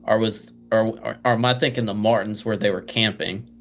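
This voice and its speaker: Low-voiced man at a game table. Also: steady tone, brisk, fairly bright tone, faint music behind. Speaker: player in a tabletop role-playing game. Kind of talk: casual game talk, questioning.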